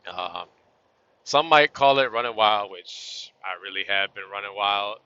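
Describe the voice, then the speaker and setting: A man with a bright, forceful and fairly low voice talks his way through his shift from the cab of a moving truck, loose and chatty. He sounds slightly hoarse. The sound is dull and lo-fi, as if caught on a phone set up in the cab. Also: a little slow. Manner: laid-back, chatty, southern-style y'all. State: losing his voice a little. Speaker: truck driver vlogger. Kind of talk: casual vlog talk to camera while driving. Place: inside a truck cab on the road. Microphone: phone or camera on a tripod.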